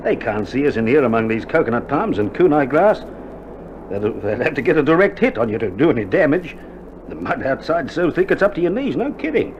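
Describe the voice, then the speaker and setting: A man's voice: on the high side, very animated, brisk. A muffled vinyl radio play with faint noise. an Australian army orderly, a wry and reassuring man whose voice swings widely through laconic, joking banter. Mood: chatty, wry. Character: wry, reassuring army male nurse in a wartime field hospital. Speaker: actor in a radio war drama. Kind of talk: 1960s radio drama acting. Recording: old vinyl recording, dull band, faint noise.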